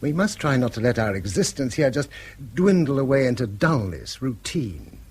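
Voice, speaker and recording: An actor playing an earnest, donnish middle-aged schoolmaster in a radio play, courteous and a little hesitant. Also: male voice, medium pitch, big pitch swings, moderate pace, a little mellow, slightly rough. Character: weary, bookish middle-aged schoolmaster, earnest and gently pedantic.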